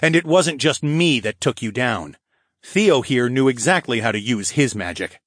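A man plays a tough young-hearted dragon hero in a fantasy audiobook. The voice is husky and animated in pitch, with a cocky, needling, sarcastic manner. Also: moderate pace, dry recording, clean studio sound. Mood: scornful. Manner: taunting, sneering.

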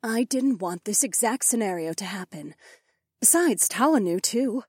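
A woman's voice: mid-range voice, animated, normal speed, a little mellow, breathy edge, no echo, clean studio sound. A woman playing a defiant, scornful young snow leopard princess in an audiobook drama.

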